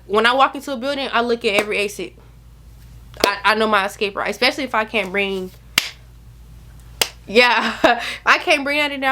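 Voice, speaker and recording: A woman's voice: medium pitch, a bit bright. A woman in her early twenties talking straight to camera in a chatty, rambling, emphatic way, giving casual advice with plenty of slang. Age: early 20s. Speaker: vlogger. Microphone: camera mic.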